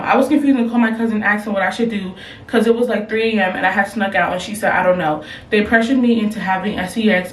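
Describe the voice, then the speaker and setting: A woman's voice: mid-range voice, roomy sound, recorded from a distance, a little hiss or hum, low-quality recording. A young woman talking casually to her camera at home, giving blunt, slangy advice. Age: young adult. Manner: blunt, slangy, animated reactions. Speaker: advice vlogger reading submissions. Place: room at home. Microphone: camera, later phone.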